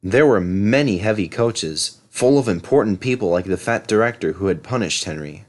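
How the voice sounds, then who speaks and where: A man's voice: on the low side, expressive, normal speed. A man narrating a children's story as a storybook reader.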